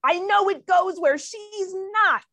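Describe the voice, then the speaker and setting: A woman voicing a small, timid, childlike talking shrub in an animated fantasy table read. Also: very high voice, slow pace, no room sound, exaggerated voice. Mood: scared. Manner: childlike, fearful.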